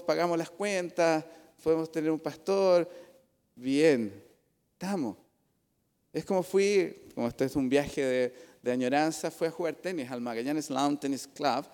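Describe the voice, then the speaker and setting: A middle-aged man with a Chilean accent delivers a sermon from a pulpit microphone. His voice sits a little high for a man. He talks earnestly but conversationally and drops in asides. The sound carries a long church reverb. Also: a little mellow, clear, resonant tone. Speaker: pastor.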